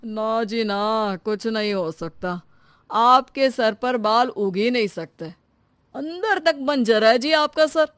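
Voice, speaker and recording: A woman voicing a blunt, baffled veteran male doctor in an animated story, with drawn-out exclamations. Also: a little high, clear, resonant tone, no room sound, clean studio sound. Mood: dismissive.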